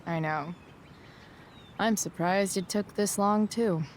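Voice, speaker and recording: A woman's voice: mid-range voice, slow pace, slight background noise, natural voice. A woman playing a defensive, rebellious teenage girl with dry sarcasm in a video game cutscene. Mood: guarded, casual.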